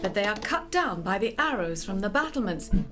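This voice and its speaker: A woman narrating a medieval legend as a scripted story, with dramatic, literary, measured phrasing. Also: medium pitch, sing-song, background noise.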